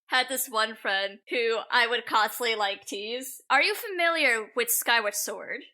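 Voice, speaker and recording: A woman's voice: fairly high pitch, a little slow, pushed and bright. A young adult woman with an American accent, chatting casually and telling personal anecdotes as a podcast guest. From Pennsylvania, United States.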